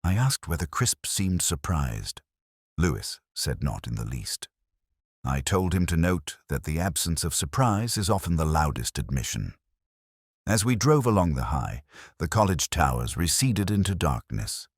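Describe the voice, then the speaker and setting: A man narrating as a brooding, weary middle-aged detective, in a measured, reflective and wry way. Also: rumbling low, big pitch swings, slightly rough, no room sound, studio quality, full character voice. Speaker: first-person narrator. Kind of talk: scripted radio-drama narration.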